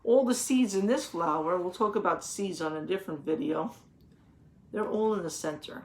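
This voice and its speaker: A woman reading a children's picture book aloud, pausing to explain things simply and put questions to the young listeners. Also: lowish voice. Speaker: read-aloud teacher.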